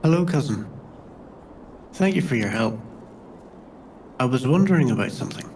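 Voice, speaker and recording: A man's voice: mid-range voice, very animated, moderate pace, darkish tone, a little hiss or hum, rough recording. A man playing an earnest, questioning cousin in an audio drama. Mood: searching, grave. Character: earnest, questioning male cousin, brought back. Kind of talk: audio drama character acting.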